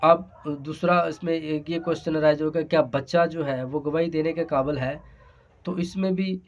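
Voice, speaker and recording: A man's voice: a little high, fairly even intonation, normal speed. A man with a Pakistani accent giving an explanatory law lesson, speaking hesitantly and repeating his phrases. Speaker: law lecturer. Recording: dull, lo-fi sound.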